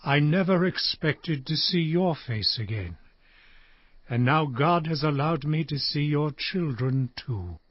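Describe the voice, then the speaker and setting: An actor playing a frail aged Hebrew patriarch in a dramatized scripture reading, solemnly and deliberately pronouncing blessings and judgments. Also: male voice, fairly high pitch, lively intonation, no room sound. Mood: tender, solemn. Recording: muffled lo-fi audio under music.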